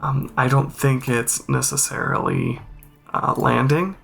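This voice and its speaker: Bright-voiced man with a gravelly edge. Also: mid-range voice, steady tone, measured pace, soft background music. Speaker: host, game accessibility reviewer. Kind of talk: informal spoken walkthrough and review commentary.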